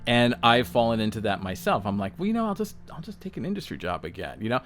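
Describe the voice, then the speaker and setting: A man's voice: mid-range voice, very animated, quick pace, fairly bright tone, faint music behind. Blunt, profane man in his sixties. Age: 60s. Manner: blunt, profane, self-mocking, lots of "um" and "all right?". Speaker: podcast host, self-employed designer. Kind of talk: unscripted solo talk.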